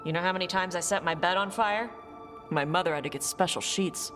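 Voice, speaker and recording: Brash fire-mage warrior, voiced by a woman: blunt, gruff delivery, low register. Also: fairly quick, a bit bright, soft background music, natural voice. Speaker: party companion. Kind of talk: video game cutscene dialogue.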